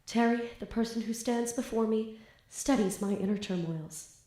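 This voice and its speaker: A woman's voice: on the high side, moderate pace, dark tone, echoey room, close to an everyday voice. A woman playing a flustered, lovestruck, bookish student in an audio drama, with grandiose theatrical diction. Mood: grandiose, dramatic.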